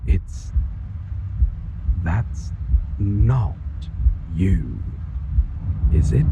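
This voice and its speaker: A man playing a brooding, merciful yet menacing vampire lord in a dark fantasy audio roleplay, speaking formally and deliberately in half-whispered phrases. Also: low-pitched, dull audio, noisy background, full character voice. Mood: shocked, desperate.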